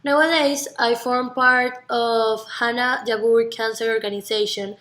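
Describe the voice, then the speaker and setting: A woman's voice: on the high side, steady tone, unhurried, a bit bright. A nineteen-year-old woman from Venezuela with a Venezuelan Spanish accent, earnestly giving rehearsed interview answers in non-native English with some stumbles. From Margarita, Venezuela. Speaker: university student being interviewed. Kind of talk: rehearsed interview self-presentation. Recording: echoey, roomy sound.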